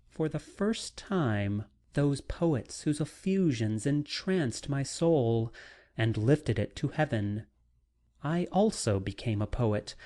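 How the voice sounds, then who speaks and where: A man narrating a classic novel as a dramatic audiobook, in a measured, deliberate manner with frequent pauses. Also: medium pitch, dark tone.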